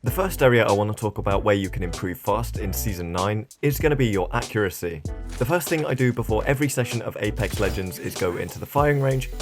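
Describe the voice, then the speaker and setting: A man with a croaky, hoarse voice, giving a brisk tutorial voice-over with self-mocking asides. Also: fairly low pitch. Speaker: gaming YouTuber.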